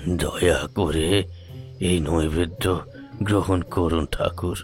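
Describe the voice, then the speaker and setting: A man's voice: on the low side, fairly even intonation, takes it slowly, soft background music. An actor playing a fussy, timid old man with a cracked voice and hesitant speech in a horror audio drama. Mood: whispered reverence. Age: older. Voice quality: broken, cracked voice. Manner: hesitant, stammering. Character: frail, fussy, timid old man, grumbling yet devout. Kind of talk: audio horror story acting.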